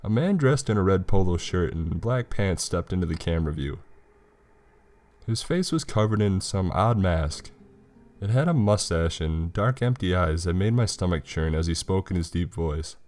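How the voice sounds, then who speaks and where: A man's voice: low-pitched, lively intonation, normal speed, darkish tone. A man reading a scripted horror story as its narrator. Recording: music behind the voice. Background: background music.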